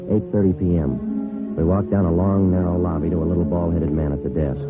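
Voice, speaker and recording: Terse 1950s radio detective: deep, quick, businesslike and deadpan, over music on a muffled vintage recording. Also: male voice. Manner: clipped, deadpan. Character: terse, businesslike male police detective sergeant narrating his case. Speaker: lead actor and narrator. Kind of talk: 1950s radio drama acting and narration.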